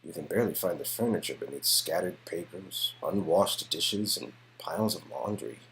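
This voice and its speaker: Lowish male narrator of a story game. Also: expressive, moderate pace, fairly bright tone, slight background noise, rough recording. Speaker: narrator of a game-dialogue performance.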